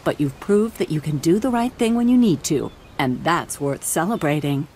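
A woman's voice: deep voice, animated, moderate pace, a little mellow, slight background noise. A woman playing a confident, principled superheroine in a video game cutscene, earnest and resolute. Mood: friendly, earnest. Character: confident, principled adult superheroine leading her team.

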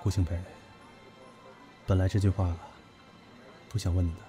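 A man's voice: deep voice, little pitch movement, normal speed, dark tone, soft background music, close to an everyday voice. A man acting a wounded, proud young former lover in an audio drama, probing and terse.

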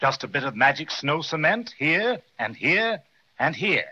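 An actor playing an eager, obliging winter spirit in a hesitant, chatty way for a 1930s children's radio show. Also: male voice, a little high, sing-song, a little slow, pushed and bright, slightly rough, heavily acted. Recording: dull 1930s radio transcription, faint noise.

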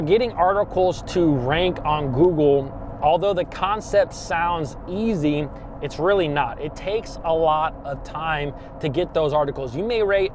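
A man's voice: fairly high pitch, a little hiss or hum. Chatty upbeat man vlogging from his truck. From Ohio. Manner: chatty and upbeat, lots of "you know" and "actually". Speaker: fishing channel host. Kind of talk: casual vlog talk to camera. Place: inside a parked pickup truck, later outdoors in a parking lot. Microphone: handheld camera at arm's length.

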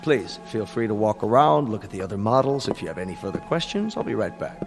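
An actor playing a smooth-talking, reassuring salesman in a radio drama, polished and measured. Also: on the low side, sing-song, long reverb tail, music in the background. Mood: soothing.

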